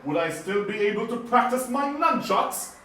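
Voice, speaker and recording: Brash puppet hero, played by a man: high, eager, melodramatic children's show delivery, distant in a very echoey room. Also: expressive, normal speed, a bit bright. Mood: cheeky, impatient. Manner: melodramatic, exclamatory. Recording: roomy, distant live show, faint noise.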